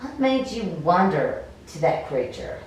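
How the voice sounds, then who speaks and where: A woman's voice: fairly low pitch, big pitch swings, a little slow. A woman voicing an encouraging female adventurer from a story game, explaining and coaching. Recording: very echoey room, distant mic.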